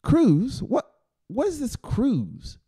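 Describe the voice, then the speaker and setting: An anxious young boy in an audiobook, voiced by a man, full of confused questions. The voice is pitched up and wavers as it presses for answers. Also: huge pitch swings, extremely slow, mellow, breathy edge, exaggerated voice. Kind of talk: audiobook character voice.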